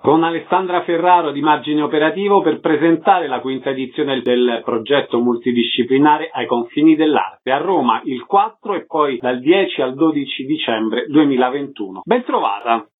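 A man's voice: medium pitch, moderate pace, hardly any pauses, phone-quality sound. A man hosting a radio show, reading scripted announcements and introductions. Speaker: radio host.

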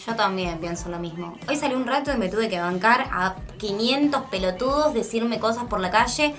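A woman's voice: fairly high pitch, expressive, moderate pace, a bit bright. A young woman with an Argentine accent playing a sympathetic, fed-up student talking colloquially in a radio drama. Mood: weary. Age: young adult. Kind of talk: naturalistic radio drama dialogue.

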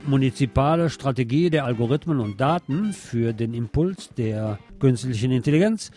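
A man's voice: mid-range voice, lively intonation, normal speed, warm tone, soft background music. A man hosting a radio programme, reading news and commentary with wry, opinionated asides. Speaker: radio host.

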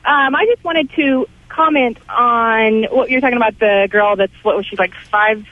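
A high-voiced woman calls into a radio show over a tinny, dry phone line. She makes her point bluntly and dismissively. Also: normal speed, no room sound. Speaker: caller. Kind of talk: phone-in comment.

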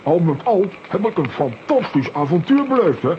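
An actor playing an excitable, self-important comic adventurer with theatrical delivery in a children's audio drama. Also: male voice, sits high, moderate pace, muffled recording, a little hiss or hum. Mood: self-satisfied.

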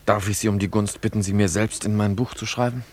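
An actor playing a pompous, earnest and easily affronted young admirer in a theatrical, florid German radio drama style. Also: male voice, on the low side, fairly even intonation, quick pace, natural voice. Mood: puzzled, eager. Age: young adult.

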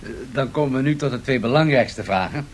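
A man's voice: brisk, lightly acted. A man posing questions in a polite, orderly way in a radio play discussion. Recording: 1970s radio recording with faint rumbling noise.

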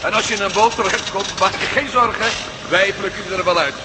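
An actor playing a practical, urgent hero in a radio play. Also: male voice, sits high, brisk, close to an everyday voice. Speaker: Matt Meldon, hero of the series. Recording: noisy old radio play recording.

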